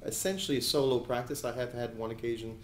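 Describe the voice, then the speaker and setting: A man in his forties from New Jersey, answering questions as an attorney and judicial candidate in an editorial board interview, speaking in a measured way with frequent hesitations. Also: medium pitch, fairly even intonation, reverberant, slight background noise. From New Jersey, USA. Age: 40s.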